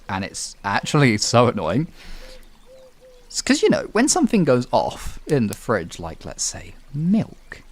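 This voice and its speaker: A man's voice: medium pitch, gravelly. A man with a British accent hosting a livestream, chatting casually and rambling informally with some swearing. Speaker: livestream host. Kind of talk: casual chat with stream viewers.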